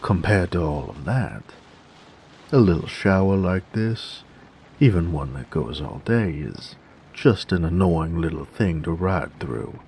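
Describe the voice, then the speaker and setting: A man playing a gruff, jaded drifter bandit in a roleplay audio drama, with a gravelly voice and a sardonic, folksy drawl. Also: animated, a little slow, darkish tone, a little hiss or hum. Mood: wry, musing. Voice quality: gravelly, very low voice. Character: gruff, jaded male bandit who grew up drifting with a carnival.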